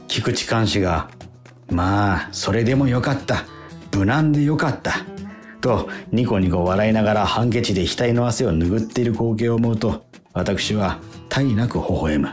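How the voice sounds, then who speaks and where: Low-pitched man reading literature. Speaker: radio host reading literature aloud. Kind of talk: dramatic literary reading of a letter.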